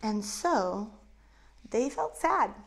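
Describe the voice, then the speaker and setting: Animated woman teaching kids a Bible story. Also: on the high side, extremely slow, gravelly. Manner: animated, acts out voices of characters. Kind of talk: storytelling Bible lesson for children. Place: indoors in front of a map backdrop. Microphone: lapel mic.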